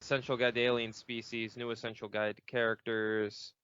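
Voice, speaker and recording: A man's voice: medium pitch, flat delivery, fairly quick, fairly bright tone, clear, resonant tone, no echo. A man hosting a casual podcast segment, chatting hesitantly with plenty of swearing. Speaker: podcast host presenting a segment. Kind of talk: casual banter about a fan wiki. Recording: dull lo-fi podcast audio.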